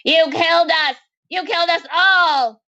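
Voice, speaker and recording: A woman's voice: extremely high pitch, unhurried, pushed and bright, dull audio, voice pushed up, full character voice. A woman voicing a panicky, resentful male alien insect in a story reading, with wailing, sarcastic complaints. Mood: distressed, accusing.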